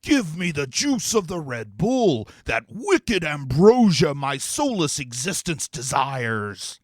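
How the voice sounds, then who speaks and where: A man voicing a theatrical, greedy male demon that talks in grandiose, scornful tones, with a strained, drawn-out delivery. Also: a little high, very animated, no room sound, pitched above the performer's own voice, heavily acted.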